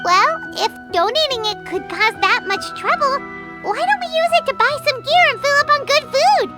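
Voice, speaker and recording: A woman voicing a tiny, childlike, greedy female game companion with a squeaky, exclamatory delivery. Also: animated, normal speed. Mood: puzzled, eager. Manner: refers to herself by name, exclamatory. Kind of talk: video game character acting. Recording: background music behind voice.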